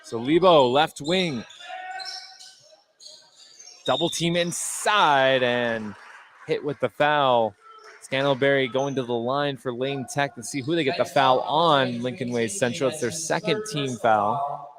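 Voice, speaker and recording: A man's voice: mid-range voice, a little slow. A man doing live play-by-play commentary of a basketball game for a radio broadcast. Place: at courtside in an indoor gymnasium. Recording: live game broadcast over loud gym noise.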